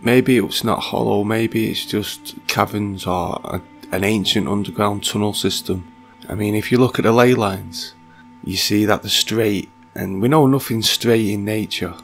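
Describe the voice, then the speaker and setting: A man with a sore, croaky throat narrating a conspiracy video in a musing, rambling way, reading passages aloud. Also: lowish voice, fairly even intonation, measured pace, soft background music. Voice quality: gravelly. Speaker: channel host.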